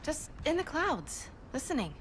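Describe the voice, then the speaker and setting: High young female game hero, unhurried, earnest, impatient, headstrong, dramatic delivery. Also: big pitch swings, very noisy. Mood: sullen, longing. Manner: earnest, frustrated. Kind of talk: dramatic video game acting.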